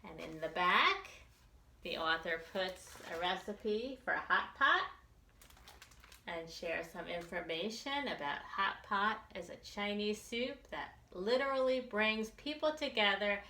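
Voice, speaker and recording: Bright-voiced woman reading slowly to children. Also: lowish voice. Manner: slow, deliberate, expressive delivery with repetition. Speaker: children's librarian leading a storytime. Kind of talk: sing-song read-aloud and chanting for young children. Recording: roomy, echoey sound.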